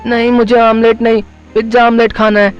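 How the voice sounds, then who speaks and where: Man putting on a whiny high-pitched little boy. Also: flat delivery, brisk, clear, resonant tone, muffled recording, faint music behind. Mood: whining, demanding. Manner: whiny, pleading, insistent. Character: whining young boy demanding pizza from his mother. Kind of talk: Hindi moral-story cartoon voice acting.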